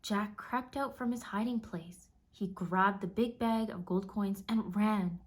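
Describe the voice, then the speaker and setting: Slow-paced woman reading a children's story. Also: medium pitch. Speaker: storybook narrator. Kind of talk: scripted children's read-aloud narration.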